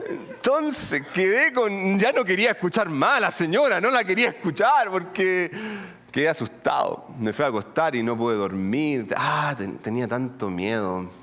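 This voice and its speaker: A middle-aged man with a Colombian accent, preaching a sermon in an animated, humorous way with asides to the congregation. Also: fairly high pitch, a little slow. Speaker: pastor. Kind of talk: sermon with storytelling.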